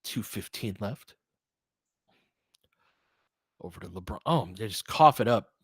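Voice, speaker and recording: A man's voice: lowish voice, expressive, measured pace, breathy edge, dry recording, clean studio sound. A man doing informal basketball play-by-play as a host, chatting conversationally and thinking aloud. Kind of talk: casual basketball commentary mixed with chat replies.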